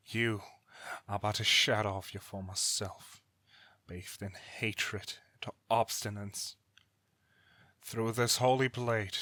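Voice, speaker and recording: A man's voice: lowish voice, sharp tone, no echo, heavily acted. A man playing an ancient god in an audio drama, a bitter, weary brother who speaks formally and solemnly without remorse. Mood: angry, resolute. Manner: formal archaic diction, grave, deliberate. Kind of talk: dramatic audio roleplay acting.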